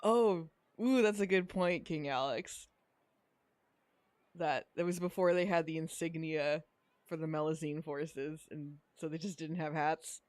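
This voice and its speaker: A woman's voice: deep voice, unhurried, darkish tone, no room sound. A woman co-hosting a podcast, giving casual, chatty commentary on a video game. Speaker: podcast co-host.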